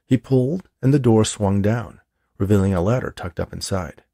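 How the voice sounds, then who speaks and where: A man's voice: on the low side, moderate pace, creaky, warm tone, dry recording, studio quality. A man reading a story aloud as a scripted audiobook narration. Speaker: author reading own novel.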